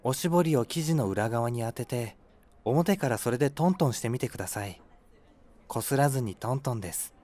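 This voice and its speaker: A man's voice: mid-range voice, animated, fairly quick, darkish tone, natural voice. A man playing an earnest, mild, slightly airheaded young product developer who speaks politely and unassumingly. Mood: helpful. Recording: faint music behind the voice.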